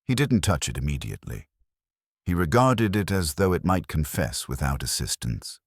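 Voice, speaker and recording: A man reading detective fiction in the first person as a narrator, with wry, measured storytelling. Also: extremely low pitch, very animated, dry recording, clean studio sound. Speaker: audiobook narrator.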